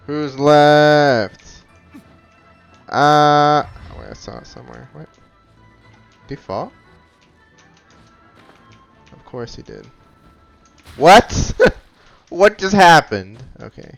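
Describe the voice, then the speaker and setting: Gravelly man muttering game commentary. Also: fairly high pitch, lively intonation, very slow, long pauses, faint music behind. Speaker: video game player, commentator. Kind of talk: casual playthrough commentary.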